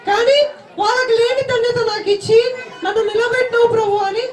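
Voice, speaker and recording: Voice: very high-pitched, fairly even intonation, fairly quick. A puppeteer voicing a childlike puppet, exhorting children and asking them questions at a Christmas puppet show. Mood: earnest. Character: squeaky childlike puppet preaching cheerfully to children. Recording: echoey hall, crowd and noise behind.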